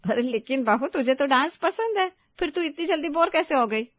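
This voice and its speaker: A woman's voice: high voice, lively intonation, normal speed, no room sound, dull audio. A woman playing a kindly, indulgent middle-aged mother-in-law in story dialogue. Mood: puzzled.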